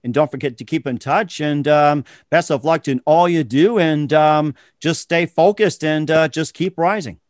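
A man's voice: a little high, moderate pace, few pauses, dry recording, studio quality. A man hosting an interview show in a casual, effusive, complimentary way. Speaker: talk show host.

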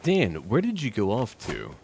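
A man's voice: lowish voice, huge pitch swings, normal speed, creaky, darkish tone, slight background noise, rough recording. A man hosting a roleplay strategy game video, musing aloud in short, clipped remarks. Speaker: gaming video host.

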